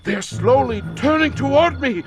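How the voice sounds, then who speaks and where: Intense, entranced visionary researcher, widely swooping voice. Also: male voice, very high voice, animated, soft background music. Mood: rising terror. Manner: theatrical, incantatory, halting with repeated words. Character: obsessed male researcher in a drugged, visionary trance. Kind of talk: dramatic audio horror acting.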